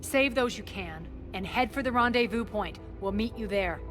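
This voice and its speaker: A woman's voice: mid-range voice, normal speed, music in the background, natural voice. Woman acting a cold, imperious female crime boss. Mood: commanding. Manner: imperious, clipped, scornful. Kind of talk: video game cutscene acting.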